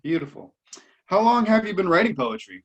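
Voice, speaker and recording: A man's voice: high voice, sing-song, brisk, a bit bright, breathy edge, highs cut off. Encouraging male interviewer. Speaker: interviewer host. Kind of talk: casual interview questions.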